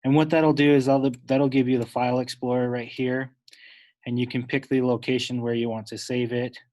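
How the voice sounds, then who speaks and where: A man giving an unscripted, instructional step-by-step software tutorial, talking wordily and correcting himself as he goes. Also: medium pitch, flat delivery, normal speed, poor audio. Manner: instructional, wordy, with self-corrections.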